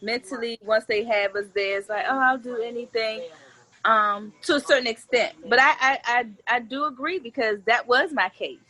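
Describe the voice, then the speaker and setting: A woman in her forties with a fairly high, bright and forceful voice. She talks like an interview guest in a loose, candid chat, blunt and earthy, turning her stories into jokes. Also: normal speed, poor audio. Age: 40s. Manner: blunt, earthy slang, animated storytelling. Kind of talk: candid, joking casual chat.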